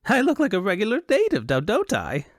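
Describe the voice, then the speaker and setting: A foppish fantasy nobleman, pitched well up and swooping theatrically. He is vain, haughty and excitable, with affected airs and little sense behind them, as heard in an audiobook. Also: brisk, no room sound, studio quality, heavily acted. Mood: self-satisfied. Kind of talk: audiobook character acting.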